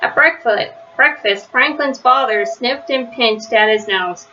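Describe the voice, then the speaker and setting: Female storyteller for children's picture book: expressive, bright, high voice, slightly dull home recording. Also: a little slow, slight background noise. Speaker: read-aloud storyteller.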